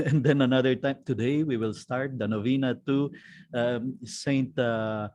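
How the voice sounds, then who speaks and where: A man in his fifties from the Philippines, a teacher telling his life story in an interview, pausing on fillers and chuckling often. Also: mid-range voice, steady tone, a little mellow. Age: 50s. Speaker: guest, teacher and character educator. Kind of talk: recorded online interview, candid personal testimony.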